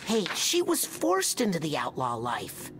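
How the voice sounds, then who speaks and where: A woman voicing a plucky, earnest young boy hero in an animated video game, eager and husky. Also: low voice, measured pace, background noise, heavily acted.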